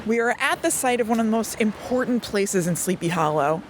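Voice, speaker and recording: A woman's voice: mid-range voice, animated, fairly quick. A woman co-hosting on location, chatting casually in a playful, slangy and agreeable way. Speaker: podcast co-host. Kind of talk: casual chat while sightseeing. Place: outdoors on location near traffic. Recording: noisy outdoor recording with traffic.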